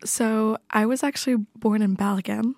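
A woman's voice: fairly high pitch, fairly even intonation, moderate pace, vocal fry, darkish tone, no echo. A woman in her twenties from Canada, a guest chatting in a radio studio in a playful, self-deprecating way. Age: 20s. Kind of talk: casual chat.

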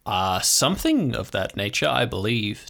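A man's voice: on the low side, very animated, moderate pace, vocal fry, a bit bright. A man narrating an improvised audio drama as game master, describing scenes to the players.

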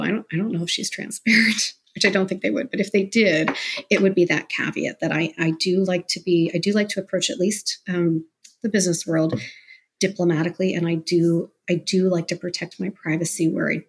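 Candid woman with a slightly husky voice. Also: fairly low pitch, steady tone, fairly quick. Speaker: interview guest, business professional. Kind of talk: candid conversational interview.

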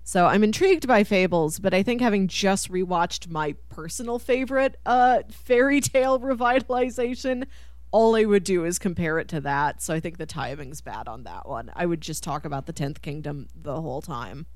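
A woman's voice: on the low side, normal speed, no room sound. A woman hosting a podcast, chatting casually about pop culture and laughing readily. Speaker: podcast host.

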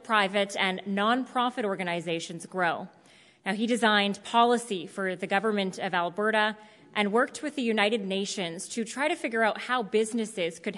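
A woman's voice: mid-range voice, fairly bright tone. A woman, a moderator giving a prepared introduction to an audience. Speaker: moderator, TV news reporter. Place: large indoor auditorium stage. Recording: echoey hall with long reverb.

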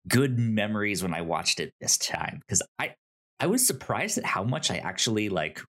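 A man co-hosting a podcast, chatting casually about television in a halting way, often repeating words and stammering over them. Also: fairly low pitch, very animated, fairly bright tone. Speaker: podcast co-host. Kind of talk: casual conversational TV show discussion.

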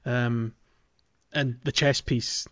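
A man's voice: mid-range voice, fairly even intonation, drawn-out words, a bit bright, highs cut off. A man hosting a podcast, talking casually and chattily about a game in informal language. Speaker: podcast host.

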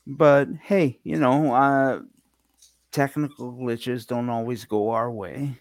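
A man's voice: medium pitch, fairly even intonation, takes it slowly, dry recording. A man chatting casually as a radio show co-host, in a hesitant, conciliatory way. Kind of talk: casual unscripted chat.

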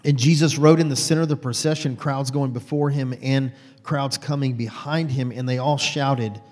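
A man's voice: mid-range voice, fairly even intonation, moderate pace, darkish tone. A man in his forties giving an informal, rambling sermon to a small group, often stopping to correct himself. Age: 40s. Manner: rambling, self-correcting, many fillers. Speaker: lay preacher. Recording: long hall reverb.

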